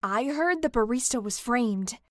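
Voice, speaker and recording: A woman acting a gentle, hesitant, encouraging cafe customer in a fantasy audio drama. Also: a little high, lively intonation, unhurried, no echo, clean studio sound. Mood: awkward, kind. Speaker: voice actor in a fiction podcast.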